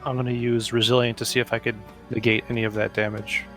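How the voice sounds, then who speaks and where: A man of middling pitch talks casually through his moves as a player in a tabletop game. Faint music plays behind his voice. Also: level tone, fast talker.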